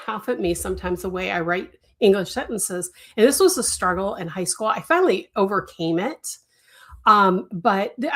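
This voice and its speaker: A middle-aged woman, Italian as her first language, hosting a casual desk-tour vlog, rambling with many fillers and self-corrections and often laughing lightly mid-sentence. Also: mid-range voice. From United States. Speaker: crochet designer and channel host. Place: home workspace.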